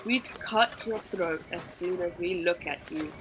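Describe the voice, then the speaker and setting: A woman's voice: on the low side, a little slow, noisy background, natural voice. A woman voicing a boastful, bumbling male river pirate captain in a storybook, with a proud, blustering delivery. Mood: gloating.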